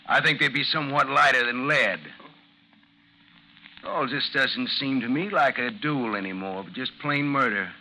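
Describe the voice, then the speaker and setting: An actor in a 1950s radio drama playing a vengeful gentleman duellist, coolly mocking and formal in speech. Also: mid-range voice, normal speed, vocal fry. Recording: noisy 1950s radio recording, slight hiss.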